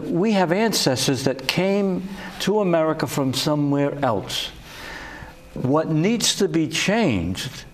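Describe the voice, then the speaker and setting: An older man hosting a political talk show, speaking slowly and deliberately with frequent pauses and fillers. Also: a little high. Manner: slow and deliberate, many uh fillers. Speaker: host.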